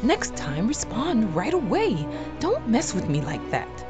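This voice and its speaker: A woman's voice: a little high, wildly swooping pitch, a little slow, over music. An actress playing an anxious, commanding woman politician, urgent and protective, in an audio drama. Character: anxious, commanding female politician leading trapped colleagues.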